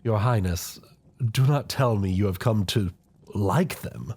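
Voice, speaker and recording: Man voicing an older royal advisor, low husky voice, formal, measured, deferential yet scheming, fantasy audio drama. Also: darkish tone. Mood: stern, warning. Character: loyal, scheming older male royal chancellor, formal and grave. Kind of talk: scripted fantasy audio roleplay acting.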